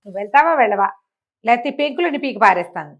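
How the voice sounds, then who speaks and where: A pushy female demon from a bedtime ghost story, acted out by a woman storyteller. She scolds and threatens in a fairly high voice, exasperated and easily annoyed. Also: expressive, racing, a bit bright, dull audio. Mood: threatening.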